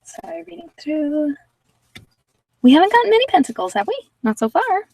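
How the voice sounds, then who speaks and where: A woman giving a casual, halting tarot reading and chatting with viewers as she thinks aloud. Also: very high-pitched, very animated, creaky, darkish tone, no room sound, far from the mic. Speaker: host of a live card-reading session.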